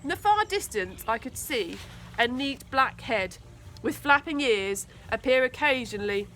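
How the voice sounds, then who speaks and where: Bright woman reading a story. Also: on the high side, slight background noise. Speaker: school teaching assistant reading aloud. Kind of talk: expressive children's storybook narration.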